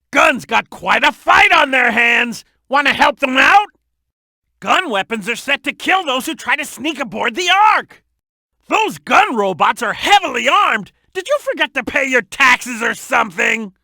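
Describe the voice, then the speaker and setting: A woman voicing an excitable, cheeky little boy animal sidekick in a video game, with a childlike voice and eager, emphatic delivery. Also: high-pitched, no room sound, studio quality, heavily acted. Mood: cheeky, alert. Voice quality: youthful, childlike. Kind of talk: video game character hint lines.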